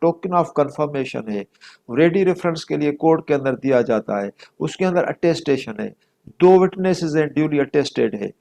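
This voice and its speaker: A man's voice: on the high side, expressive, moderate pace, creaky, mellow. A middle-aged man with a Pakistani accent giving an explanatory law lecture, repeating points for emphasis and dropping in English legal terms.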